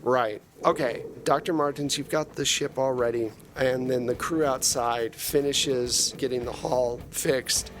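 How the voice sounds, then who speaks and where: A man's voice: medium pitch, steady tone, measured pace, hardly any pauses. A man running a tabletop role-playing game as game master, casually narrating events and explaining rules in a noisy recording.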